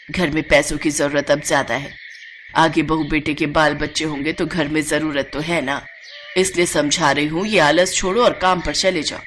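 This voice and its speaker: Woman voicing a low-pitched, worried village mother-in-law. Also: a little hiss or hum, close to an everyday voice. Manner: admonishing, pleading. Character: weary, scolding middle-aged village mother-in-law.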